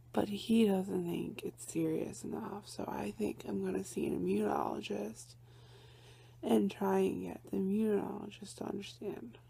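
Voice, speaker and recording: A young woman records a personal video diary, speaking softly and often dropping to a breathy near-whisper, with sighs between her phrases. Her voice is thick with a cold. It carries a heavy gravelly fry and a husky, unclear tone. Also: mid-range voice, a little slow. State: congested with a cold. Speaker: vlogger.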